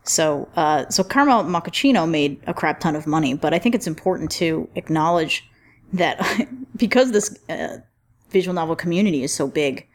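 A woman's voice: low voice, animated, moderate pace. A woman hosting a casual roundtable chat in an informal, jokey, slangy way. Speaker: podcast roundtable host.

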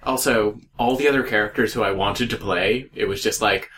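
A man's voice: lowish voice, a little fast, fairly bright tone, a little hiss or hum. A man who acts in an audio drama, chatting casually on a podcast and joking about himself. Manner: joking, self-deprecating.

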